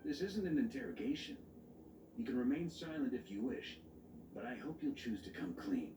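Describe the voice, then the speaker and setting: An actor playing a stern knight grandmaster in a video game, measured and authoritative with a dry wit. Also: male voice, medium pitch, big pitch swings, reverberant, recorded from a distance, noisy background, lightly acted. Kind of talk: dramatic video game voice acting.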